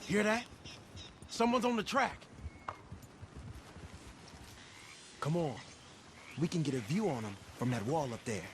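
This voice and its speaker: An actor playing a young, easygoing male outlaw in a game cutscene, with a relaxed drawl. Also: fairly high pitch, normal speed, noisy background. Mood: hushed. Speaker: game character. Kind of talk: cutscene voice acting. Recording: game audio with loud music.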